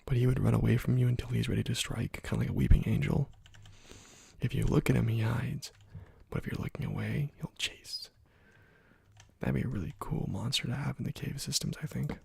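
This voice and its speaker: A man giving soft-spoken ASMR gaming commentary in a hushed, breathy, partly whispered voice, casual and musing, close to the microphone. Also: medium pitch, brisk, dry recording. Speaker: gaming video host.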